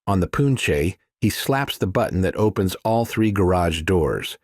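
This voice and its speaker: A man's voice: low voice, lively intonation, unhurried, a little mellow, slightly rough, dry recording, clean studio sound. A man reading scripted thriller narration as an audiobook narrator.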